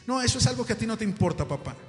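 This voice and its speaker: An exhausted, emotional family man in a spoken parable, acted out by a male preacher. His voice is high, loud and exasperated, ringing through a reverberant hall with music behind it. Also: animated, rapid-fire. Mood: irritated, weary. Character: José, irritable exhausted husband and father, later desperately pleading.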